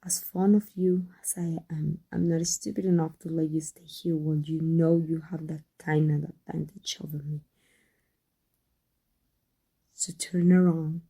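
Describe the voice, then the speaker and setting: A woman acts out a guarded villainess in love with her rival, in an intimate ASMR audio drama. Her voice is very dark and soft, with a breathy husk and some creak. She speaks haltingly, with tender emotion. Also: sits low, fairly even intonation, exaggerated voice, low-quality recording. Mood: aching, tender. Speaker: voice actor. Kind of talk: intimate ASMR roleplay acting.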